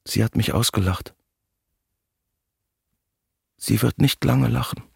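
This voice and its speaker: An actor playing a gruff, exasperated building site boss in a radio play, emphatic and sardonic. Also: male voice, mid-range voice, slow, no echo, clean studio sound, full character voice. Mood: grim, determined. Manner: emphatic, sardonic, swinging from mutter to outburst. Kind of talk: radio play acting over music. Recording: loud music behind the voice.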